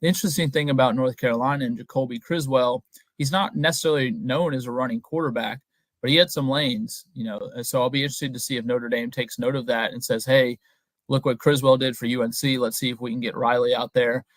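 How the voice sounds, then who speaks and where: A young man, a guest reporter, giving measured, analytical answers in a casual interview over a video call. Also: medium pitch, fairly even intonation, dry recording, poor audio. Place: indoor room at home. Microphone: webcam on a video call.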